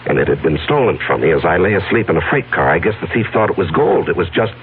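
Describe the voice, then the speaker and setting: Deep-voiced anguished fugitive, old radio. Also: male voice, animated. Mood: troubled, confessing. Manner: halting, earnest, heavy pauses. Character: anguished fugitive man confessing a hidden past to his fiancée. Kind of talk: 1970s radio drama acting. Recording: narrow-band vintage radio broadcast, faint hiss.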